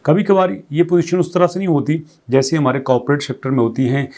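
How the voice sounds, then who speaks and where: A man's voice: fairly high pitch, steady tone, fast, a little mellow. A man hosting a crypto news channel, explaining updates conversationally and firing off strings of rhetorical questions. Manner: reads English passages aloud, then explains them conversationally. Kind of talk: explanatory commentary.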